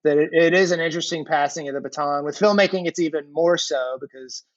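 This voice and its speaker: A man's voice: on the high side, quick pace, no room sound, lo-fi sound. A man hosting a podcast, chatting casually and with enthusiasm. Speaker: podcast host.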